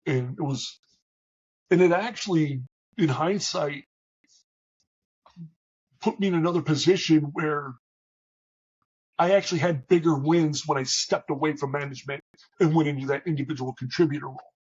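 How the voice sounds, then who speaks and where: A middle-aged man with a big booming voice, talking candidly as an interview guest, with many restarts and fillers. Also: moderate pace, pauses often, a little mellow, breathy edge, muffled recording. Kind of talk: reflective conversational interview.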